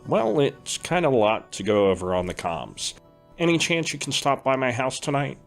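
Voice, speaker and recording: A man's voice: medium pitch, normal speed, creaky. Man playing a reassuring older brother. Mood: warm. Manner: reassuring, steady. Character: calm older-brother dream instructor, reassuring. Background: faint background music.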